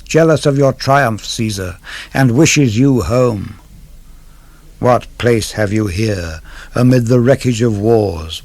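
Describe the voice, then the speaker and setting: Male poetry reader, unhurried, grave, declamatory, mid-pitched, close dry sound. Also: gravelly, darkish tone, dry recording. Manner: solemn, measured, declamatory. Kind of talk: dramatic literary reading for radio.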